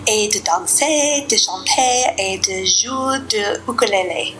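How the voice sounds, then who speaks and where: A middle-aged woman, a beginner French student reading her answers slowly and carefully. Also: medium pitch, fairly bright tone, a little hiss or hum, rough recording. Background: background music.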